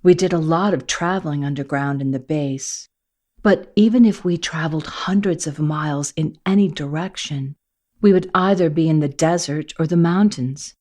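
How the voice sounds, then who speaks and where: A woman voicing a bewildered young male companion who speaks quietly and gently. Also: low-pitched, normal speed, voice pushed down. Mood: puzzled.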